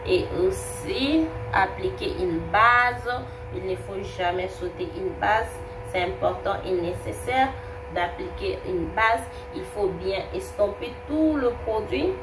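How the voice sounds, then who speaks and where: A woman's voice: medium pitch, slow pace. A young woman with a cold, chatting through a makeup tutorial and often repeating herself. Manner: chatty, repeats words, many fillers. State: has a cold. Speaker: beauty vlogger. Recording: roomy, distant, noisy home recording.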